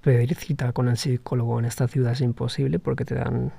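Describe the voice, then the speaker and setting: A man speaks slowly through a personal podcast reflection, often pausing and restarting his sentences. His voice is gravelly and mellow rather than bright. The sound is dry and close, with no room echo. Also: medium pitch, level tone. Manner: hesitant, thoughtful, with frequent restarts. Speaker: podcast host.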